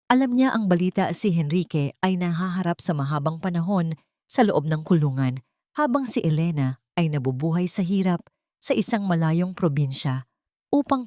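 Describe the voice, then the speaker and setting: A woman with a Filipino accent narrating a sentimental story in a measured, emotive, scripted storytelling manner. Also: on the low side, a little mellow, no echo, studio quality.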